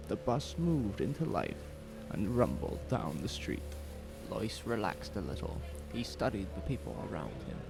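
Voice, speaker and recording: A man narrating a scripted horror audio drama in a measured, suspenseful way, with music behind him. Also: deep voice, creaky. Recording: music bed under the voice.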